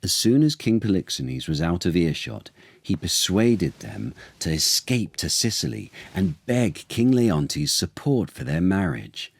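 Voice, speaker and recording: Slow, low-voiced male storyteller. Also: very animated, creaky, slightly rough, no room sound. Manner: theatrical, unhurried, with dramatic pauses. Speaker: actor reading aloud as storyteller. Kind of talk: expressive children's storytime narration of a literary tale.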